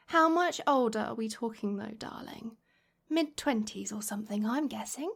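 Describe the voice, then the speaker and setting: A British woman playing a warm, gently flirty middle-aged mother in a soft, intimate ASMR roleplay, affectionate and coaxing. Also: a little high, animated, takes it slowly, vocal fry, slightly rough, heavily acted. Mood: amused, reassuring. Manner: affectionate, coaxing, lots of pet names and sighs.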